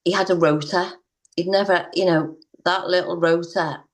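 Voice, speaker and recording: A woman's voice: on the low side, steady tone, normal speed. A woman telling her own story as an interview guest, earnest and hesitant, with many restarts.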